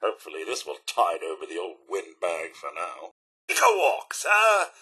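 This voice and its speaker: An actor playing a fussy, servile robot butler in a video game, with a British accent, a raspy voice and pompous, overly formal manners. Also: male voice, deep voice, huge pitch swings, normal speed, pushed and bright, full character voice. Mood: polite, prim. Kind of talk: theatrical video game character lines.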